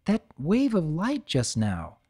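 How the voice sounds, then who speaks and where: A woman's voice: deep voice, huge pitch swings, slow, dark tone, husky, exaggerated voice. A woman voicing a polite, distracted young mare who talks hesitantly. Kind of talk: dramatic audiobook reading.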